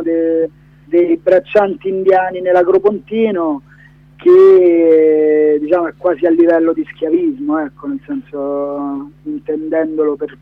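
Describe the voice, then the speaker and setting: Man, high voice, radio guest, hesitant and discursive, dull lo-fi phone-in sound. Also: no room sound. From Rome area, Italy. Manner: hesitant, discursive, many hedging fillers. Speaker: documentary director, interview guest. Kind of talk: spontaneous radio interview.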